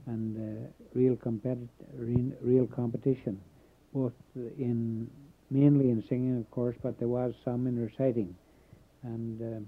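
A man born in Canada recalling his Welsh community in a halting oral history interview, pausing often. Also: medium pitch, little pitch movement. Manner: halting, many filler pauses. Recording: tinny phone-like old tape.

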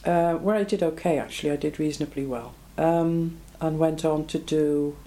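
Woman, 70s, Belgian-born, low mellow voice, reflective oral history interviewee. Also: steady tone, moderate pace, a little hiss or hum. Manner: reflective, plain-spoken, frequent ums.

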